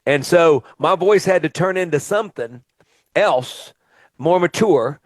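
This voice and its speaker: A man's voice: a little high, expressive, measured pace, no echo. Chatty man hosting radio. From Mississippi. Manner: enthusiastic, chatty, frequent "uh" fillers. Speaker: radio show host and singer-songwriter. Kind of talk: casual radio interview chat.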